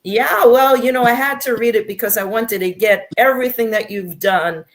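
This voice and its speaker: A woman's voice: a little high, moderate pace. A woman hosting an interview show, upbeat and encouraging as she asks her guest questions. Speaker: show host.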